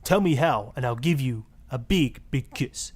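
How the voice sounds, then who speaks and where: A man's voice: mid-range voice, lively intonation, measured pace, darkish tone. A man voicing a suave, sleazy marriage guru with a Spanish accent, florid, seductive and pompous, in a satirical game radio style. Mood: passionate, wounded. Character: suave, sleazy Latin male marriage guru, grandly passionate.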